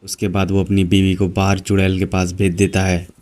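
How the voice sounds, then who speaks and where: A male narrator telling a horror cartoon story in an even, unhurried way. His voice is deep, dark and very monotone, delivered slowly over faint music.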